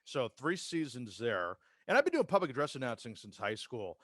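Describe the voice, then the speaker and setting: A man's voice: mid-range voice, sing-song, normal speed, fairly bright tone, no echo. Male podcast guest, American accent, mid-range voice, conversational storyteller, very dry sound. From Massachusetts, United States. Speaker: podcast guest, sports public address announcer and radio host. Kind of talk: casual conversational interview, career storytelling.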